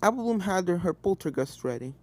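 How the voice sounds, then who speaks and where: A woman's voice: on the low side, expressive, brisk. A woman narrating a scripted story expressively. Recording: music behind the voice.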